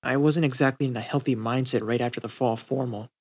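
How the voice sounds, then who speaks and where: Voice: mid-range voice, steady tone, fast talker, natural voice. A reader voicing a sheepish, defensive teenage girl in a cartoon fan story, speaking hesitantly. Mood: guilty, defensive. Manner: hesitant, subdued.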